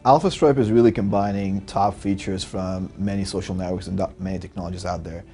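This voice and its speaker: Guy, real low mellow voice, pretty monotone, lots of uhs, founder talking about his startup. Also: fairly quick, soft background music. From Lebanon. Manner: earnest, with frequent fillers. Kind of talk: interview answers.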